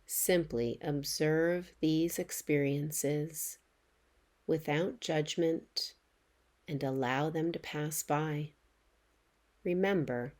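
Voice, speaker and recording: Slow, low-voiced woman guiding meditation. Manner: soothing, gentle, measured delivery with pauses. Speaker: yoga nidra meditation guide. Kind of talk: guided relaxation meditation, softly instructing.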